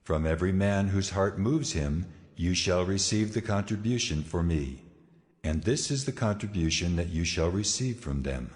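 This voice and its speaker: An actor playing God in a dramatized scripture reading, solemn, majestic and authoritative, with measured delivery. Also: male voice, low voice, animated. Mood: solemn, commanding. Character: God, a solemn, commanding, majestic male deity. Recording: long cavernous reverb over soft music.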